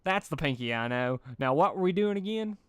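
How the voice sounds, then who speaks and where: A man voicing a dim, trusting, folksy female farm pony from a cartoon, slow on the uptake. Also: fairly high pitch, lively intonation, fairly bright tone, smooth, no echo, studio quality, voice pushed up. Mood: dazed, cheerful.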